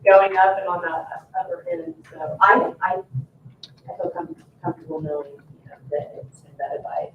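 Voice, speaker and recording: A board member thinks out loud at a meeting, halting and informal. The sound is echoey and picked up from across the room, with faint noise behind. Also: mid-range voice, animated, narrow, tinny audio. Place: indoor public meeting room. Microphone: distant room microphone. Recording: roomy, distant, faint noise.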